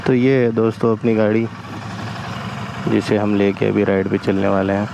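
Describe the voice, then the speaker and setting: A man's voice: lowish voice, level tone, moderate pace, vocal fry. A man chatting informally to viewers in short remarks, as a motorcycle vlogger. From India. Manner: informal, talking to viewers in short remarks while busy with the bike. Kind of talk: casual motovlog chat. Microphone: action camera setup with helmet. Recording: outdoor vehicle and street noise.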